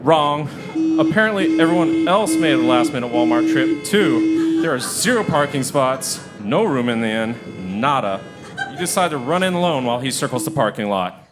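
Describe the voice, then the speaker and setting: Wry, lively male narrator. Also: mid-range voice, normal speed, loud music behind the voice. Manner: playful, wry, storytelling. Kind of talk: second-person comic radio drama narration.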